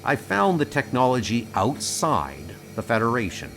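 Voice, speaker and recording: A man's voice: mid-range voice, huge pitch swings, moderate pace, a bit bright, soft background music. Man as an arrogant, defiant colony boss. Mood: boastful. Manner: combative, scornful. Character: defiant, arrogant male colony leader, stubborn and unrepentant.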